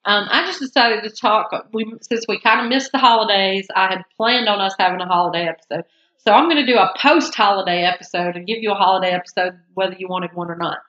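A woman's voice: mid-range voice, quick pace, fairly bright tone, roomy sound, highs cut off. A congested woman co-hosting a podcast in casual chat, rambling and often cutting herself off. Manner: rambling, self-interrupting, laughs often. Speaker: podcast co-host.

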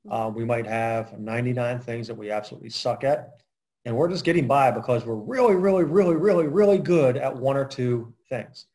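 A man's voice: medium pitch, a little fast, mellow. A man hosting an interview podcast, talking casually with a lot of fillers and restarts. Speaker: podcast host. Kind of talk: conversational interview.

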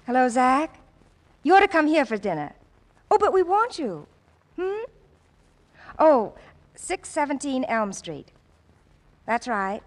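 An actress playing a wistful, hesitant young woman just out of prison, in 1940s radio drama style, soft and halting. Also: high-pitched, big pitch swings, heavily acted. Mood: shy, eager. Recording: old radio recording, long reverb.

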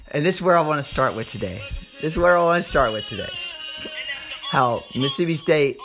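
Man, Southern US accent, slightly hoarse, fairly high pitch, brash podcast monologue, drawn-out words, faint music behind. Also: expressive. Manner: repeats phrases for emphasis. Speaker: podcast host. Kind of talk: informal sports podcast monologue.

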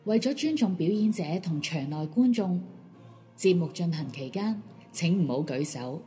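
A woman's voice: fairly low pitch, expressive, moderate pace, dark tone. A woman with a Hong Kong Cantonese accent reading a scripted pre-show announcement for a radio drama. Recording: faint music under the voice. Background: faint background music.

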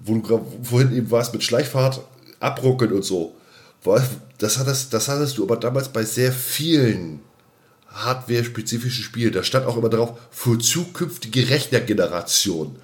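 A man with an average-pitched voice chats as a podcast co-host. His talk is informal and a bit halting, circling around as he rambles. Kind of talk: casual chat about science fiction.

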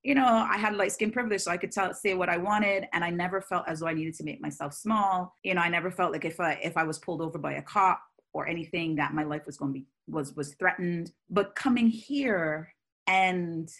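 A woman from Barbados, speaking as a scholar guest in a conversational interview, forthright and animated as she works through long thoughts. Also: lowish voice, fairly quick.

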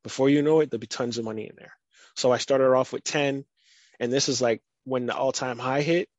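Man chatting in a flat, even voice. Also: medium pitch, brisk, no echo, highs cut off. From United States. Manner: relaxed, rambling, many "like" fillers. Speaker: guest. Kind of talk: casual podcast chat.

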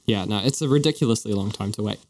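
A man's voice: fairly low pitch, fast, darkish tone. A young man from New Zealand with a New Zealand accent, co-hosting a podcast in a chatty, upbeat, joking way. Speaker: podcast co-host. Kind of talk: casual chat.

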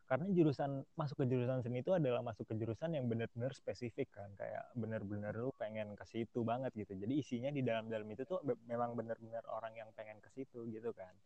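A man's voice: mid-range voice, steady tone, fast talker, hardly any pauses, mellow, dry recording. A teenage man with a Jakarta Indonesian accent chatting casually as a podcast guest, slangy and self-deprecating. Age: teens. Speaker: podcast guest, music student. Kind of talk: casual chat. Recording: dull, muffled lo-fi audio.

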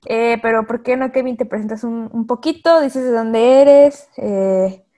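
A young woman chats casually as a podcast host. Her voice is mellow and dark-toned, and her talk is full of fillers and easy laughter. She keeps it friendly and loose. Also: on the high side, steady tone, measured pace. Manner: chatty, laughs often, many fillers. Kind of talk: casual faith podcast chat.

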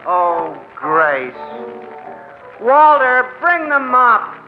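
An actor playing an excitable, bashful, good-natured bar worker in 1940s radio style, folksy and flustered. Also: male voice, very high voice, very slow, full character voice. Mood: flustered, cheerful. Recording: dull lo-fi old radio broadcast, music.

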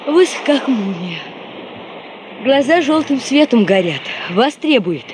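Casting: a brisk gossipy secretary, very varied intonation, radio play over noisy ambience. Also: female voice, a little high. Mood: wry, breathless news. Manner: expressive, gossipy, dramatic. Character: brisk, chatty, wry female secretary. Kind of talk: Soviet radio drama acting.

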